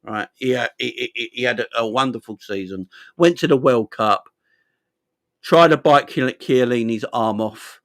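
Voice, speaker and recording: A man hosting a casual football chat, rambling, animated and a bit sweary. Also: medium pitch, a little slow, no room sound. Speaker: football channel host.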